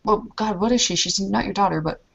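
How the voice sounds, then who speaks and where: A woman's voice: mid-range voice, a little fast, vocal fry. A woman in a tabletop roleplay, talking in character in an anxious, rambling way with many restarts. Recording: lo-fi muffled recording.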